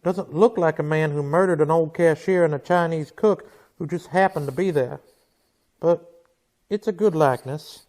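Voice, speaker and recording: An actor playing a stern frontier marshal with a dry, deadpan manner in a radio western. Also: male voice, a little high, normal speed, close to an everyday voice. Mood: dryly sarcastic. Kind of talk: old-time radio western acting.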